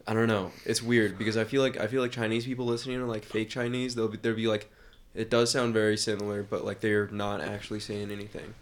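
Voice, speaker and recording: A man's voice: fairly low pitch, flat delivery, fast. A young man co-hosting a casual podcast, rambling loosely with lots of filler words. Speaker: podcast co-host.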